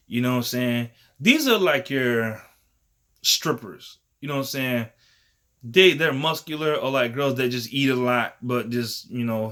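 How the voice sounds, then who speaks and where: A man's voice: medium pitch, bright, forceful tone. A man of thirty talking casually to the camera in a rambling, slangy and profane way, often dropping "you know what I'm saying.". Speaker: vlogger.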